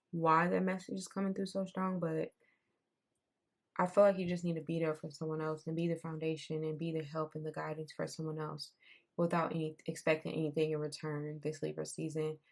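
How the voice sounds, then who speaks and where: A young woman giving a casual tarot reading. She talks in a loose, repetitive way, and her voice has gone raspy. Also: low voice, level tone, brisk. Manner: conversational, repeats herself, says "I'm seeing" often. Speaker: tarot reader.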